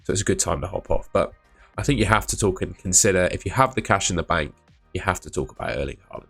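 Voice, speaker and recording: Croaky low-voiced man. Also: lively intonation, fast, fairly bright tone, faint music behind. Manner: chatty, thinks aloud with asides. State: croaky voice from shouting. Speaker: fantasy football channel host. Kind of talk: casual talk to camera.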